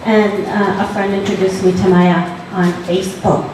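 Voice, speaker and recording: A woman's voice: medium pitch, little pitch movement, moderate pace. A woman from Alaska speaking on a panel, hesitant and full of fillers. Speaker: panelist, visual artist. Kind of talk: panel talk. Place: large gallery hall. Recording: echoey hall from far off, background noise.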